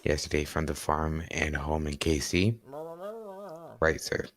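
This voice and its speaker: A student performer playing a gruff police sergeant in an old-time radio play. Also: very deep voice, level tone, a little slow. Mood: businesslike. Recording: school radio play with music behind.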